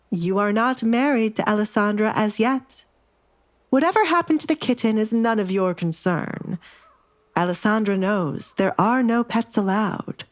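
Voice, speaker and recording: A woman's voice: fairly high pitch, voice pushed up. A woman voicing a haughty middle-aged noble mother in an audio drama, scornful and indignant in a clipped manner.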